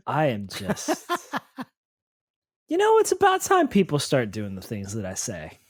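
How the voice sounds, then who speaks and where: A man with a husky voice and extremely animated pitch banters as a player in a tabletop game. The sound is very dry and the talk is casual. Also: measured pace, dry recording.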